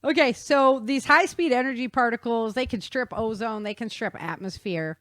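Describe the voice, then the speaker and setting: A woman's voice: on the high side, normal speed, a bit bright, no echo. A woman hosting a talk show, explaining science in a chatty, informal way and checking in with her listener.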